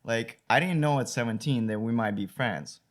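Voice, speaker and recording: A man's voice: medium pitch, moderate pace. A young man from Ukraine with a Ukrainian accent, chatting candidly and easily as a podcast guest. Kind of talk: casual chat.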